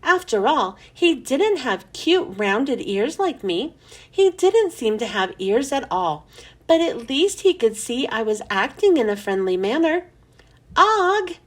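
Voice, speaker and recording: A woman narrating a children's storybook in an expressive, animated read-aloud style. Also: on the high side, a little slow. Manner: animated, storytelling for young listeners.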